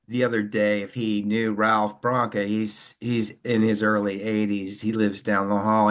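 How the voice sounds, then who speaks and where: A man's voice: lowish voice, fairly bright tone. A man taking part in a discussion group over a video call, speaking casually and rambling a bit as he builds his point.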